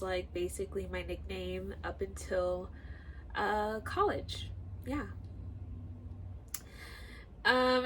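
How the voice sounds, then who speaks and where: Congested nasal girl in her 20s rambling through a get to know me vlog, talks slow, bit of background noise. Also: medium pitch, a bit bright, poor audio. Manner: chatty, rambling, full of likes and ums. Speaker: YouTuber.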